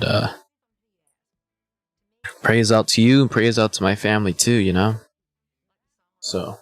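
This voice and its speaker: Laid-back young man streamer, low voice. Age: 20s. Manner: rambling, laid-back, repeats "man" and "you know". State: a little hungover. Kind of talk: casual chat to a live stream.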